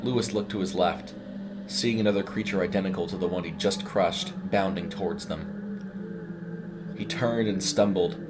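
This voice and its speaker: Guy with a really low voice narrating a creepy horror story, loud music under him. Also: normal speed.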